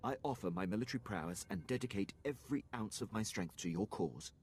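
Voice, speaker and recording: A man's voice: lowish voice, expressive, fast talker, a little mellow, husky. An actor playing an earnest, loyal Imperial officer in a video game, formal and precise in his deference. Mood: proud, devoted. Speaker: game character, military officer.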